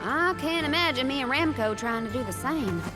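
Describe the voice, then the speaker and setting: An actress playing a spirited, teasing young adventurer in a video game, with a bubbly manner. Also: female voice, high voice, animated, moderate pace, over loud music. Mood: curious.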